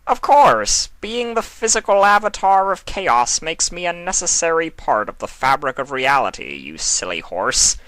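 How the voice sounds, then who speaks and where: Mischievous storybook villain, a flippant spirit of chaos played by a man: theatrical, mocking, pitched up and swooping, bright tone. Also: sing-song, moderate pace, few pauses, no echo, low-quality recording. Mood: gleefully mocking. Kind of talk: fan fiction character reading.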